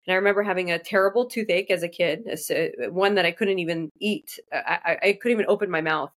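Low-voiced woman dentist, quick restarts. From Iraq. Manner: fast, restarting, self-correcting speech. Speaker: dentist guest. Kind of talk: casual interview answers.

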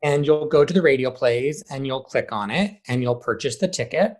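A man answering interview questions conversationally as a high school theater director, stressing points by repeating words. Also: animated, moderate pace.

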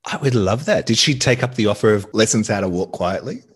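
Low-voiced man co-hosting a podcast, dry teasing humor. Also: expressive, fairly quick, slightly rough. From Australia. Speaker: podcast co-host. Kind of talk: casual podcast chat.

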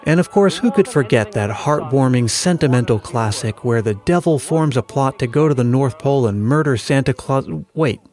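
A man's voice: medium pitch, lively intonation, normal speed, few pauses, a little mellow. An American man narrating a scripted podcast as its host. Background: faint music.

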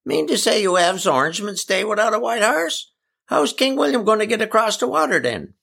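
A man voicing an excitable, easily indignant old uncle with a Newfoundland accent and folksy, exclaiming dialect, in a storybook reading. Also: high voice, brisk, creaky, pitched above the performer's own voice, full character voice.

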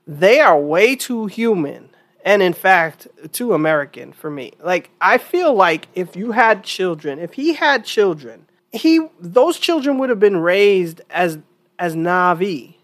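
A woman's voice: fairly low pitch, very animated, measured pace. Stuffy-voiced woman hosting a chatty podcast. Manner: chatty, emphatic, repeats herself. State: stuffy from a cold. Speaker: podcast host. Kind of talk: casual opinion podcast monologue.

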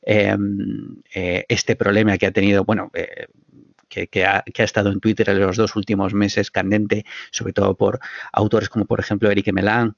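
A man from Spain with a Spanish accent, a guest on a podcast, talking in a measured, thoughtful way with many hesitations. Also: on the low side, flat delivery, creaky, no room sound. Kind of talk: casual podcast conversation. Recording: dull, muffled dry audio.

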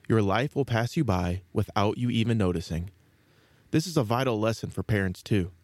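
A man's voice: lowish voice, a little mellow, dry recording. A man hosting children's audio stories, giving an earnest scripted address to listeners. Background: music.

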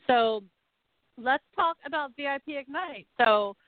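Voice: sits high, measured pace, dry recording. An interview host talking casually, enthusiastic and encouraging toward the guest. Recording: phone-like narrow sound, dry.

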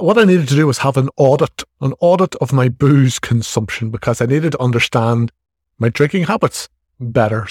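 A man in his forties with an Irish accent talks through his podcast in a frank, easygoing and self-deprecating way. His voice sits at a middling pitch, recorded close on the mic in a dead, dry room. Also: a little mellow, no room sound. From Ireland. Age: 40s. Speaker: podcast host. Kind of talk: casual solo podcast monologue.

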